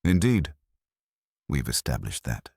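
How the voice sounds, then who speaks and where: A mild, courteous police inspector from a mystery story, voiced by a man. The voice is very deep yet lively in pitch, formal and conversational with quiet irony, heard dry and close. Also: a little slow, breathy edge, no room sound, exaggerated voice. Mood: quietly ironic. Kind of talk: storybook mystery character dialogue.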